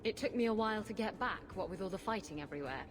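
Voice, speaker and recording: A woman plays a flippant rogue in a game cutscene, wry and teasing, with a lively voice that rides up and down. Game music plays behind her. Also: medium pitch, quick pace, natural voice. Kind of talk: video game cutscene acting.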